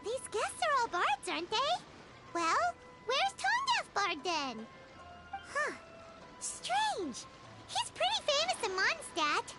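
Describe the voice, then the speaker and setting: A woman voicing a small, chirpy, childlike fairy guide in a video game, squeaky and bubbly. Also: huge pitch swings, slow pace, heavily acted. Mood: puzzled, musing. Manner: bubbly, refers to self by name. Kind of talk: video game character dialogue. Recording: loud game music behind voice.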